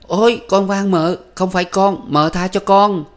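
Guy putting on a wailing young maid, high shrill pleading, storybook reading. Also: fairly even intonation, measured pace. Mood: pleading, sobbing. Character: terrified young female servant girl, wailing.

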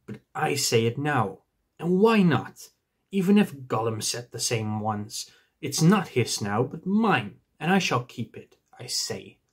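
A man's voice: medium pitch, big pitch swings, slow pace, hardly any pauses, sharp tone, slightly rough, full character voice. A man voicing an angry, stubborn old hobbit, possessive and defiant, in a storybook reading. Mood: obstinate, indignant. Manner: defiant, obstinate, indignant.

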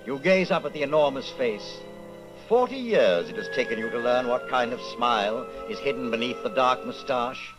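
An actor playing a solemn narrator in an old-time radio drama, telling the story theatrically. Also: male voice, mid-range voice, close to an everyday voice, poor audio. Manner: theatrical, second-person storytelling. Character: solemn male radio drama narrator addressing listener as protagonist.

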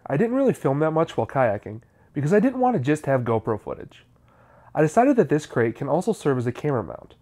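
A man's voice: on the high side, warm tone. A man, narrating a how-to video in a scripted, explanatory style.

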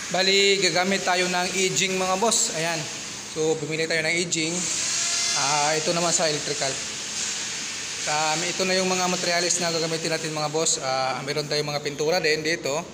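A man with a slightly high voice narrates a casual tour of a warehouse under construction, recorded on a handheld phone. He chats loosely and keeps stopping on filler sounds. Background noise is clearly audible. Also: fairly even intonation, moderate pace. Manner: chatty, many fillers, keeps addressing viewers as "boss". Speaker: construction vlogger. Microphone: handheld phone camera.

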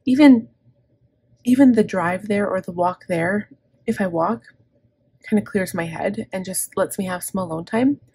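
A middle-aged woman with a scratchy, gravelly throat, chatting casually and candidly through her planner, rambling and correcting herself. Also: mid-range voice, measured pace, darkish tone, no room sound. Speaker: planner vlogger. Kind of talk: casual plan-with-me chat. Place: indoors at a desk.

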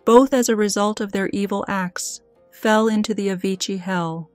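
A woman's voice: medium pitch, steady tone, moderate pace, clear, resonant tone, dry recording, lightly acted. A reverent disciple played by a woman speaks in a scripture audio drama. The recitation is formal, careful and earnest, dry and close, with music behind it.

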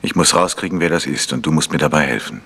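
An actor playing a calm, polite gentleman investigator in a German radio crime drama, asking insistent questions. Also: extremely low pitch, fast, slightly rough, heavily acted. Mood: earnest, insistent.

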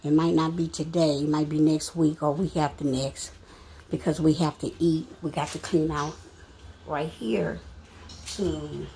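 Low gravelly woman rambling in her kitchen. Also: flat delivery, a little slow, warm tone, slight background noise, poor audio. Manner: rambling, chatty, repeats herself. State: short of breath. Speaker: vlogger. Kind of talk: casual home cleaning vlog. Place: home kitchen, indoors. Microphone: phone camera, speaking off-screen.

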